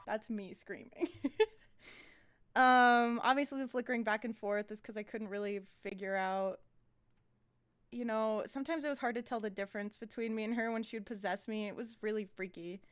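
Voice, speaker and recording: A woman with a fairly high voice hosts a live stream. She talks informally and rambles through commentary on her own animations. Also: steady tone, normal speed, a bit bright.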